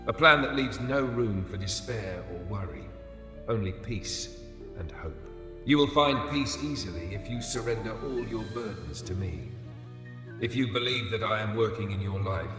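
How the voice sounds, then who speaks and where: A man giving a scripted religious narration as the voice of God, solemn and soothing, in short deliberate phrases. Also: fairly low pitch. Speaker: devotional narrator speaking in the voice of God. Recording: echoey, long reverb over music. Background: music.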